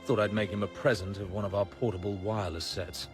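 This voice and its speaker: An actor playing a cool, wry British secret agent in a radio drama, clipped, dry and understated. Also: male voice, fairly low pitch, level tone, quick pace, close to an everyday voice. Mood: clipped, dutiful. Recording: loud music behind.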